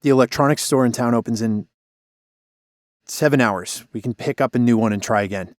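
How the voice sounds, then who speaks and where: An actor playing a broken-down radio DJ who talks in tired, clipped lines. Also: male voice, mid-range voice, fairly quick, dry recording, clean studio sound, close to an everyday voice. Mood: frustrated. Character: worn-down man, radio DJ, weary. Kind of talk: audio drama acting.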